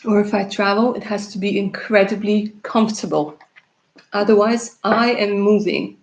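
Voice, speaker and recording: Chatty Russian-born woman with a gravelly voice. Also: medium pitch, fairly even intonation, normal speed, low-quality recording. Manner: chatty, laughs often, calls viewers darling. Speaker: tarot reader answering viewer questions. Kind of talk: live-stream chat.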